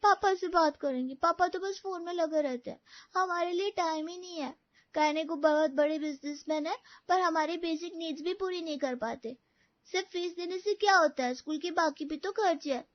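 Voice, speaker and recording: High-pitched schoolchild, voiced by a woman. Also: moderate pace, dry recording, highs cut off. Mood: resentful. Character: complaining schoolchild pleading with mother. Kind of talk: dramatised dialogue in an audio story.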